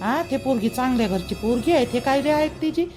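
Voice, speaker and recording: A man acts out an older village mother in a cartoon with a high-pitched voice. She frets, scolds and fires off rhetorical questions in a Vidarbha Marathi accent. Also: expressive, brisk, a little mellow, soft background music. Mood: indignant worry.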